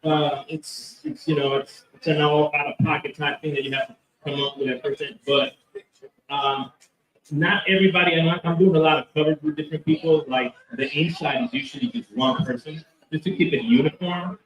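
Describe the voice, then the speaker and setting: A man's voice: fairly high pitch, fairly even intonation, brisk, a bit bright, far from the mic. A man being interviewed at a convention about his comic book, talking in a rambling way with lots of fillers and restarts. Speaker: comic book creator, guest. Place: convention hall. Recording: roomy echo, from off mic.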